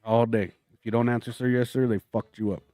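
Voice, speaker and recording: A comedian with a Mexican-American accent in a casual podcast chat, telling stories with lots of swearing and sudden voices. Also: male voice, medium pitch, quick pace, no room sound. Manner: animated storytelling, profane, laughs often, slips into voices. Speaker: comedian guest.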